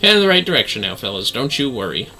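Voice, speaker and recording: A man's voice: mid-range voice, very animated, quick pace, sharp tone, low-quality recording. A man livestreaming a game with casual, playful, theatrical commentary. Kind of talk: casual playthrough commentary, reading dialogue aloud playfully. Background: faint game music.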